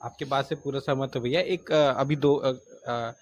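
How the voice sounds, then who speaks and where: A man's voice: medium pitch, fairly even intonation, normal speed. A man with a Chhattisgarhi accent, interviewing and asking questions hesitantly, with many fillers.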